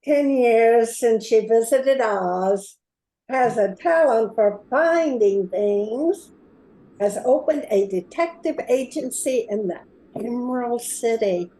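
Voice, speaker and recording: Mellow, unhurried woman reading introductions. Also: a little high, lively intonation, poor audio. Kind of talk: scripted radio play introduction.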